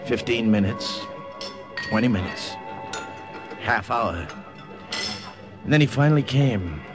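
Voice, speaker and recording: An actor playing a tense American undercover agent in a radio drama, speaking in a clipped, matter-of-fact way. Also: male voice, mid-range voice, lively intonation, slow pace, over music. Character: tense American undercover agent narrating his wartime mission. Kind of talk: old-time radio drama narration and dialogue.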